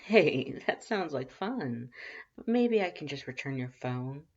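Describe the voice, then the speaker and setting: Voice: mid-range voice, big pitch swings, a little slow, a little mellow, muffled recording, pitched above the performer's own voice. A performer voicing a playful male skeleton who talks in a teasing, flirtatious purr. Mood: teasing, flirty.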